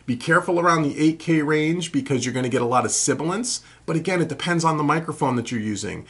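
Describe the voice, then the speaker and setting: A man in his forties with a husky voice hosts a tutorial, answering questions in a casual, conversational, explanatory way. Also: on the high side, animated, fast talker, a bit bright. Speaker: host of a home recording tutorial Q&A show. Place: small furnished room at home, indoors.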